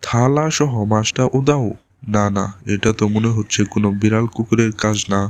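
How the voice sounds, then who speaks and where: A man's voice: on the low side, moderate pace, lightly acted. A man voicing a bossy, food-loving but shrewd village husband in a cartoon. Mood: puzzled, suspicious. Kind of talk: cartoon voice acting. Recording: music playing behind the voice.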